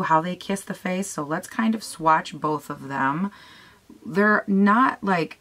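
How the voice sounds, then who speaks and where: A young woman with a raspy voice chatting casually through a makeup tutorial at home, gushing and talking to herself as she goes. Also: fairly low pitch, fairly bright tone. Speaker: beauty vlogger. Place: small room at home, indoors.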